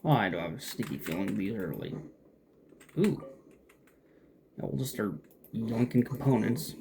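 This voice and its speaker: A man's voice: fairly low pitch, animated, normal speed, creaky. A man giving casual, off-the-cuff commentary while playing a video game, with keyboard clicks behind him. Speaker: game streamer. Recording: faint background noise, keyboard clicks.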